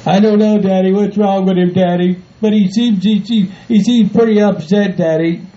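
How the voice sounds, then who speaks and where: A woman's voice: mid-range voice, steady tone, normal speed, dark tone, natural voice. A woman voicing a worried son who pleads and whines. The recording sounds dull and lo-fi. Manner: pleading, whiny. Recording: dull lo-fi audio.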